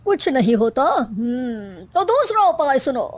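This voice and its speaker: A knowing holy man in a cartoon tale, acted by a woman gives out advice with calm authority. He pronounces each remedy in a measured way, in a high register. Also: no echo, heavily acted. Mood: pronouncing.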